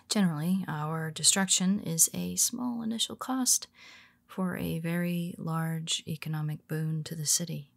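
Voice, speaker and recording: A woman voicing a cool, scheming female superhero who quietly confides in her nemesis in an audio roleplay, speaking softly and wryly, often in a whisper. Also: on the low side, measured pace, vocal fry, pauses often. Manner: calm, wry and confiding. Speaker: voice actor.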